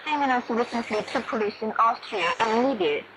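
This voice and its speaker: A woman radio announcer reading a formal, solemn news bulletin in a measured way, heard over shortwave radio. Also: a little high. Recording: noisy shortwave radio reception with static. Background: shortwave static and noise.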